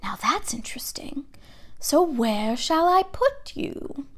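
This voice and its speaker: Woman doing a hushed, musing old magic hat. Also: sits high, sing-song, pitched above the performer's own voice, full character voice. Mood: murmuring. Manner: hushed, murmuring, slow and thoughtful.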